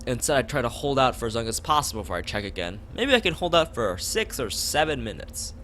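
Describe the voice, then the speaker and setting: A man's voice: medium pitch, sing-song, fast, a bit bright, a little hiss or hum. A young man reading a game's text aloud on a casual stream, rambling into asides and stumbling over lines. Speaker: streamer playing a visual novel.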